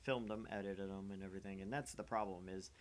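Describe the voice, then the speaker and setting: A man's voice: low voice, fairly even intonation, fast. A man hosting a small gaming channel's livestream, rambling casually and conversationally, with a self-deprecating way of talking.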